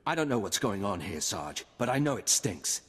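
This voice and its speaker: A man's voice: lowish voice, big pitch swings, moderate pace, a bit bright, husky, long reverb tail, exaggerated voice. An actor playing a plain-spoken, suspicious male trooper in a video game.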